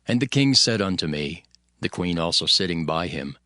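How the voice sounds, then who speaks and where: Deep, expressive male scripture narrator. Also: normal speed, no room sound, studio quality. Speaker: Bible narrator, voicing Nehemiah. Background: music.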